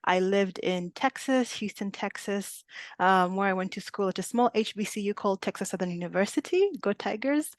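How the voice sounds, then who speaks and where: Young woman from uganda on a video call, casual and chatty, laughs a bit, mid pitch, dry sound. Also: no room sound. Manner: chatty, many fillers and small laughs. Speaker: webinar presenter. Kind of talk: casual webinar presentation.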